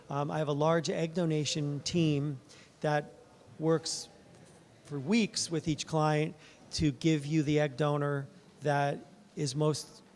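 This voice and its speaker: A soft-spoken man speaking at a conference, his voice sitting a little high for a man. He talks conversationally, with frequent ums and restarts, and explains things patiently to a room of listeners. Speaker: fertility doctor. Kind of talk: conference presentation.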